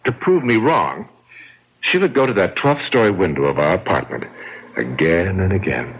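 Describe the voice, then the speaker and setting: A man's voice: sits low, expressive, normal speed, slight background noise. A man playing a suave, cold killer in a radio drama, speaking in a smug, theatrical, taunting manner. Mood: gloating. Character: suave, cold, gloating killer brother-in-law. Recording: dull, band-limited old radio recording.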